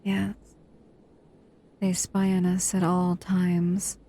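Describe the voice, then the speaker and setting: Woman voicing a husky shadow monster. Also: fairly low pitch, completely flat delivery, very slow, warm tone, full character voice. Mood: tender, soothing. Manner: soothing, intimate, often whispering. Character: captive female shadow monster, protective and affectionate. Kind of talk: audio roleplay to a listener.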